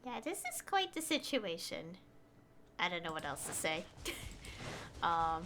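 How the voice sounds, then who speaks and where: A woman's voice: lowish voice, very animated, measured pace. A woman streaming a video game, reading dialogue aloud and commenting casually. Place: indoor livestream setup, game music behind.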